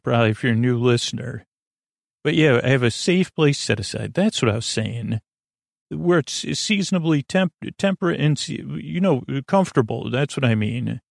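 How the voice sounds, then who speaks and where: A man with a creaky voice hosting a bedtime podcast, meandering and stumbling over words in a soothing, low-key improvised monologue. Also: no echo, clean studio sound.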